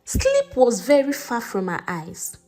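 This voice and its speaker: Female Nigerian storyteller for folktales: high-pitched, very animated melody, soft music underneath. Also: slow pace. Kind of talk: expressive folktale narration.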